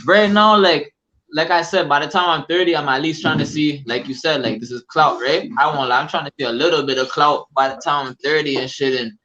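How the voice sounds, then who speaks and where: A man's voice: a little high, fairly even intonation, a little fast, sharp tone, muffled recording. A man in his late twenties, a musician being interviewed, talking casually in a loose, rambling way full of fillers and restarts. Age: 20s. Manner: laid-back, rambling, heavy fillers and restarts. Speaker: guest musician. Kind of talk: casual podcast conversation.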